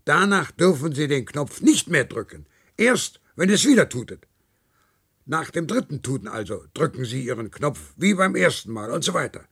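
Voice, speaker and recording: An actor playing a meticulous, controlling male instructor in a science-fiction radio play, didactic and condescending, with a husky, creaky voice. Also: fairly high pitch, big pitch swings, fairly bright tone, full character voice. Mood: instructing, insistent. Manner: didactic, hesitant pauses, condescending.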